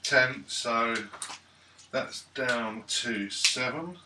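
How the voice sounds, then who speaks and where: A man's voice: medium pitch, very slow. A man bantering casually as a player at a tabletop role-playing game. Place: around a gaming table in a room. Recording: very noisy, echoey room, distant mic.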